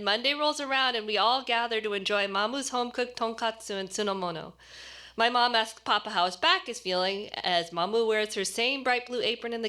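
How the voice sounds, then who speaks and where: Bright-voiced woman reading a story. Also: on the high side. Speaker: actor reading a short story. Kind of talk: expressive scripted narration.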